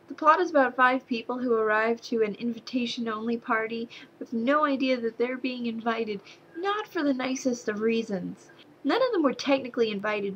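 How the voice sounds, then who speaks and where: Young woman, slight southern accent, fairly high voice, sarcastic, exasperated, faint hiss behind. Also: dull audio. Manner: sarcastic, exasperated, animated rants. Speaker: movie reviewer. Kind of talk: casual movie review to camera.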